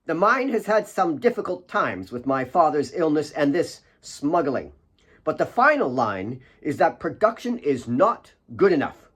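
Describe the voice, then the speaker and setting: A man voicing a bitter, disdainful young woman, slightly shrill, cutting and threatening, in an audiobook. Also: very animated, a little slow, a bit bright, slightly rough, exaggerated voice.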